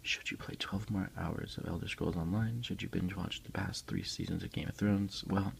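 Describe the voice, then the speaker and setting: A man speaking as an ASMR host, soft-spoken and unhurried, in a casual style. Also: low-pitched, steady tone, vocal fry, slight background noise. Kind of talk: whispered ASMR unboxing, reading aloud and chatting.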